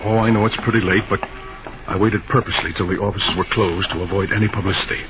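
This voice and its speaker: An actor playing an anxious, secretive banker in an old-time radio drama, urgent, confiding and insistent. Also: male voice, lowish voice, steady tone, fast, phone-quality sound, loud music behind the voice, lightly acted. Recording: very noisy, thin old radio recording.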